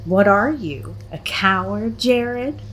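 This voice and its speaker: A teenage séance leader in a horror audio drama, played by a woman. She is intent and goading, giving out each phrase slowly and deliberately over audible background noise. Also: mid-range voice, lively intonation, fairly bright tone.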